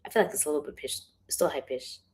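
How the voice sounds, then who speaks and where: Chatty young woman, voice going hoarse. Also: quick pace. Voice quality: speaking lower than her usual bright voice. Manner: chatty, rambling, giggly, uses filler words a lot. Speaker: author hosting a livestream. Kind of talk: casual livestream chat. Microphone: phone or webcam in a livestream.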